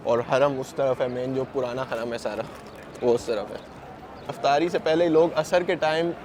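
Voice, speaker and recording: A man with a sore throat, chatting to viewers as he films his own travel vlog, calling them brother as he walks and shows things. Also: medium pitch. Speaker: travel vlogger. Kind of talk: handheld travel vlog narration. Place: outdoors and in a large busy mosque extension. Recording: noisy outdoor phone recording.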